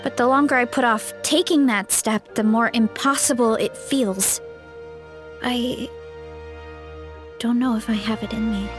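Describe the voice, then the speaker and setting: A woman's voice: a little high, fairly even intonation, a little slow, long pauses, music in the background, close to an everyday voice. A woman voicing an earnest, self-doubting but determined young girl warrior in a video game, with a sincere manner. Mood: hesitant, confiding. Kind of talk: animated video game voice acting.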